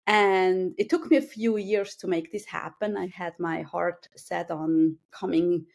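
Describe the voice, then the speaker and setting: A woman's voice: on the low side, steady tone, measured pace, creaky, a little mellow. A woman in her forties from Switzerland, a guest in an interview, talking casually with many hesitations and restarts. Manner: hesitant, many restarts and fillers, laughs easily.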